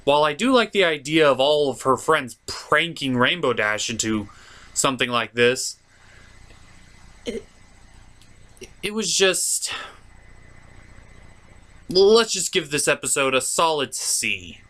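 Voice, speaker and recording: Animated, bright-voiced man hosting a comedic review. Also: a little high, slow, pauses often. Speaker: host of a cartoon review show. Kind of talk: comedic review commentary with puppet banter.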